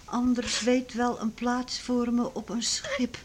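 A high-voiced, middle-aged mother in a radio play. She is tender and fretful, soothing and pleading, over the faint hiss of an old recording. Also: level tone, slow pace. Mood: tearful, tender. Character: anxious, tender mother of an island family. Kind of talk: radio drama acting.

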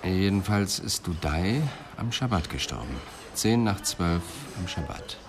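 A man's voice: deep voice, expressive, a little slow, background noise. A man playing a pensive, dryly ironic police detective in a radio crime drama, speaking in a thoughtful, clipped way. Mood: probing, pensive.

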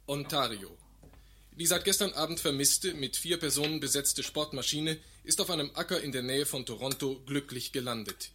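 A man playing a formal radio newsreader who delivers a measured bulletin in a German radio play. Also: mid-range voice, pushed and bright, slight background noise, lightly acted.

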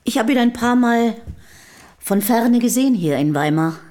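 An actress playing a guarded, down-to-earth middle-aged housekeeper of the early 1800s in a radio play, speaking plainly and tersely. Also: female voice, on the high side, animated, normal speed, a little mellow, natural voice. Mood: plain, reserved. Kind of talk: German radio play acting.

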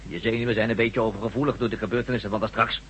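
A man acting a hospitable but wary and pragmatic, excitable friend in a radio play. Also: lowish voice, racing, lightly acted, low-quality recording. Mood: dismissive. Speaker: actor in a radio drama. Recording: hissy, humming radio recording.

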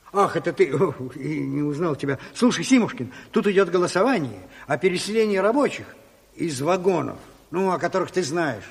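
An actor playing a fussy, stubborn, argumentative official in a radio play, speaking insistently. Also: male voice, a little high, creaky, husky, heavily acted. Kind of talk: naturalistic radio play acting. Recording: reverberant room.

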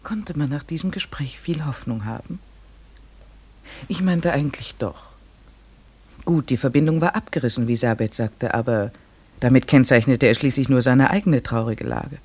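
Earnest narrator, slightly husky. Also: male voice, mid-range voice, darkish tone. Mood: musing. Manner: deliberate, musing, rhetorical questioning. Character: earnest, inquisitive narrator puzzling over mysterious ravens. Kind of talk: radio play narration.

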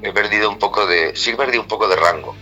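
A middle-aged Spanish rock singer speaks in a very low voice with a rough, cracked edge and a sharp, forceful tone. He rambles loosely through his answers and keeps tacking little questions onto the ends of his sentences. Music plays faintly under the interview. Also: racing, highs cut off. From Spain. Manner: rambling, many restarts and tag questions. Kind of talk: casual interview talk.

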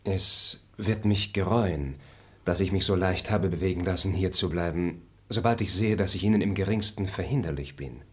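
A man acting a polite, formal gentleman traveller in a radio play. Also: low voice, moderate pace, close to an everyday voice. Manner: courteous, formal.

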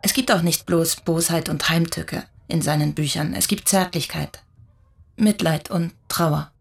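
A composed, guarded woman in a radio drama. Her voice is lowish and husky, and she answers with restraint, quietly standing her ground. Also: unhurried, full character voice. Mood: earnest. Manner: terse, restrained, unruffled replies. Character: composed, quietly defiant woman, guarded but tender-hearted. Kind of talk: German radio drama acting.

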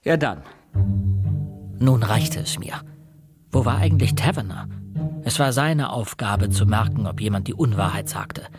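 A man's voice: medium pitch, natural voice. Man as an earnest amateur investigator over music. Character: earnest, self-doubting young amateur investigator. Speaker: narrator and protagonist. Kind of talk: German radio crime drama narration and dialogue.

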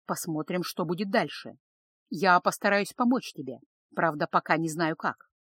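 A woman voicing a world-weary, consoling harem woman in an audiobook, gentle and measured. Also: on the low side, breathy edge, no room sound. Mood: reassuring, firm.

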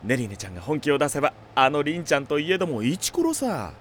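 A man playing a genial, doting middle-aged demon king, gentlemanly and theatrical, in an anime audio drama. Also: on the high side, sing-song, fast talker, a little hiss or hum. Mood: doting, teasing.

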